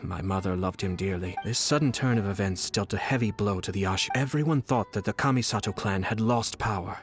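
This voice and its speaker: A man's voice: fairly low pitch, fairly quick, close to an everyday voice. An actor playing a refined, calculating young nobleman in a video game, speaking formally and with composure. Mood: composed, formal. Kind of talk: scripted video game dialogue. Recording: music behind.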